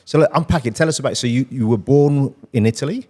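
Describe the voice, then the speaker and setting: Fast-talking man hosting an interview. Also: medium pitch, warm tone. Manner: enthusiastic, interjects often. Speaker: podcast host. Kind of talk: casual conversational interview.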